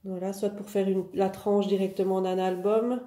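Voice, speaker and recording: A woman with a head cold talks through new paper collections for her online craft shop, chatty and full of fillers. Her voice is very dark and mellow. The room adds a noticeable echo. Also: medium pitch, little pitch movement, moderate pace. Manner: chatty, many "euh" and "donc" fillers. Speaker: online craft shop owner presenting products. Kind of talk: casual product showcase.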